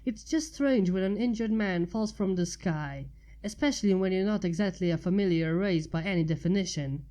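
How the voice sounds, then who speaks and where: A woman's voice: medium pitch, normal speed, dark tone, close to an everyday voice. Woman voicing a curious, caring young unicorn mage. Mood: curious, friendly.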